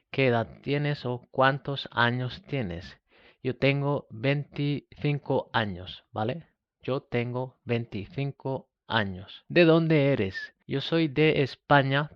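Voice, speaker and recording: A man from Spain teaching a beginner Spanish lesson, slowly repeating each example phrase. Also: medium pitch, no echo, muffled recording.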